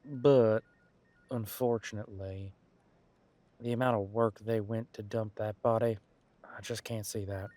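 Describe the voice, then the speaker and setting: Man playing low-voiced, casual police detective. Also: steady tone, unhurried, long pauses, dark tone, lightly acted. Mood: musing, wry. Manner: casual, musing, hesitant trailing phrases. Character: male police detective, casual, wry, musing over murder case. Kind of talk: one-sided conversational audio roleplay.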